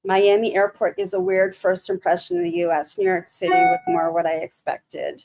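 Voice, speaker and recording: Halting, nervous woman with a Michigan accent. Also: lowish voice, monotone, darkish tone, faint music behind. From Michigan, USA. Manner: halting, many restarts and fillers, nervous. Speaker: livestream host. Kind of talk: casual livestream chat with viewers.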